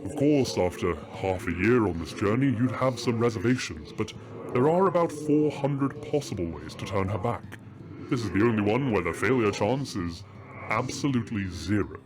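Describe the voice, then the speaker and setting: A man playing a wise, teasing middle-aged father king in a fantasy audio drama, measured and playful. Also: lowish voice, expressive, lightly acted. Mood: calm, assured. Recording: music under the voice.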